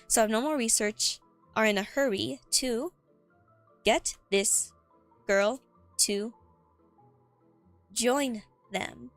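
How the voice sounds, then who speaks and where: A woman livestreamer chatting casually and writing aloud, haltingly repeating words as she goes, her voice a little cracky. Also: on the high side, creaky. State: voice a bit cracky. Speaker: streamer and writer. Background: background music.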